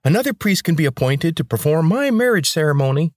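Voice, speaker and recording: A man voicing a ruthless male rebel leader with a high, grating voice, in an audiobook. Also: sing-song, fairly quick, no echo, studio quality. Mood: menacing. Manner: commanding, menacing.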